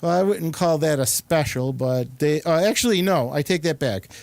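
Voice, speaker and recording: A man hosting a casual group chat, halting and often searching for his words. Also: on the high side, lively intonation, creaky, darkish tone, dry recording. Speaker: host.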